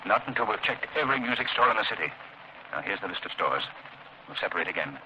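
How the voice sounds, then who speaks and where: An actor in a 1930s radio drama playing a resolute gentleman detective, urgent and commanding. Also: on the low side, sing-song, fast, exaggerated voice. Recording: muffled, noisy 1930s radio recording.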